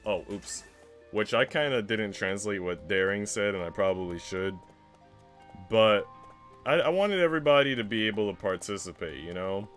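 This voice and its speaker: A man's voice: fairly low pitch, expressive, normal speed, a bit bright, soft background music. A man livestreaming in casual, conversational chat with his viewers. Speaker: livestreamer reading visual novels.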